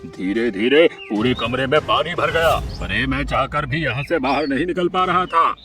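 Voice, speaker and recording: A man plays a cartoon crow husband and father with a mid-pitched male voice. He is panicky and fearful, exclaiming in agitation. Music is loud behind him. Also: moderate pace, close to an everyday voice. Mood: shaken, agitated. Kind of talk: cartoon character acting.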